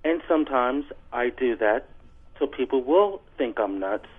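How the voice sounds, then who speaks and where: A man calling a radio show over a phone line, reading aloud and bantering in a halting, insistent, deadpan way. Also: mid-range voice, breathy edge. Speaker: call-in guest on a radio show. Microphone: telephone. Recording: tinny, narrow phone line.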